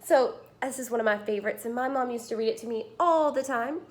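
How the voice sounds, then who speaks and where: A woman teacher reading a picture book aloud to children, with expressive, emphatic storytelling and drawn-out phrases. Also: on the high side.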